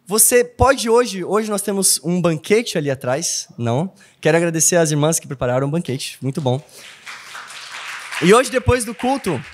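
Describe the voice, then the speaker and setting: A man's voice: high voice, a little hiss or hum. A young man from Brazil with a Brazilian Portuguese accent, preaching a sermon in a church hall, telling stories and often checking in with "não?". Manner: animated storytelling, asks "não?" often.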